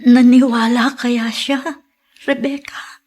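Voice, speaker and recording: A woman's voice: fairly high pitch, little pitch movement, slow pace, a little mellow. An actress playing a tender, forgiving mother who longs for her child, earnest and emotional in melodrama style. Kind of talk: Filipino melodrama acting.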